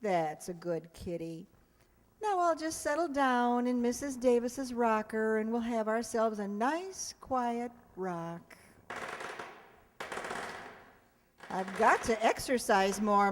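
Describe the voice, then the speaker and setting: An actress as a wry schoolteacher heroine of a radio comedy, high-pitched and dryly sardonic. She turns her jokes on herself, and her voice carries through a reverberant hall. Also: a little hiss or hum. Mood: sleepy, musing. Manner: dry wisecracking, sardonic. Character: wry, lonely, self-mocking single schoolteacher woman. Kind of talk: old-time radio comedy acting. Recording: reverberant hall stage recording.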